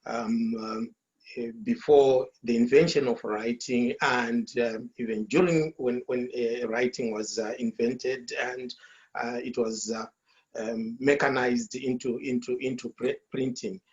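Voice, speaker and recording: A man from Zimbabwe whose first language is Shona, talking slowly in English on a video call, with many fillers and repeated words. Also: medium pitch, steady tone, a little mellow, lo-fi sound. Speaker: author. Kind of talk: speaking at an online launch.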